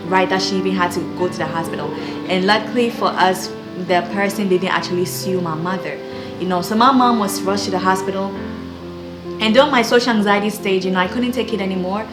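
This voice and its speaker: A woman's voice: mid-range voice, brisk. A young woman with a Ghanaian accent giving an earnest, encouraging personal faith testimony. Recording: echoey room with background music.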